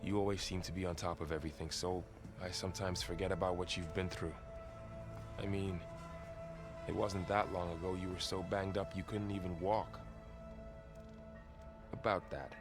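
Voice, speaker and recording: A gentle young male companion played by a man in a post-apocalyptic game, speaking earnestly in a deep voice. He is considerate and soft-spoken, with music clearly playing beneath him. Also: little pitch movement, moderate pace, long pauses, lightly acted. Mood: concerned, gentle. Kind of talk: video game acting. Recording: music under the voice.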